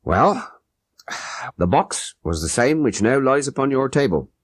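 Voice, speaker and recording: Male narrator as ex-soldier telling his crime; husky, old-fashioned, earnest, dramatically swooping delivery. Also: medium pitch, sing-song, a little slow, no echo, clean studio sound, voice pushed up. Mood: earnest confession. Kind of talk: audiobook character narration.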